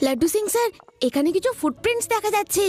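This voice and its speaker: A woman voicing a brave, clever young boy hero in a cartoon dub, who speaks calmly and politely. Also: very high-pitched, rapid-fire, heavy background noise. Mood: confident. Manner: calm, polite and confident.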